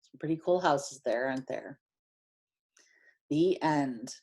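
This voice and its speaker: A woman reading a children's picture book aloud in an expressive, emphatic way, drawing out phrases for young listeners. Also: low voice, husky. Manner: animated, emphatic storytelling with drawn-out phrases.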